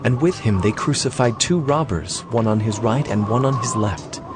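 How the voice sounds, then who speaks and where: A man's voice: mid-range voice, normal speed. A man giving a scripted, solemn and dramatic narration of a scripture story. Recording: music behind the voice. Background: background music.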